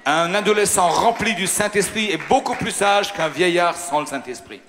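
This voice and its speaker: A man interpreting a sermon live, talking quickly and restarting his phrases. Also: sits high. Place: large hall with audience. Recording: hall reverb, crowd behind.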